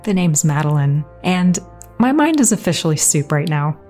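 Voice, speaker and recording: A woman's voice: sits low, very animated, dark tone, faint music behind. A woman playing a wry, sarcastic young woman in an audio drama. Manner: sarcastic, quick retorts. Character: sharp, wry young woman, bewildered but sassy.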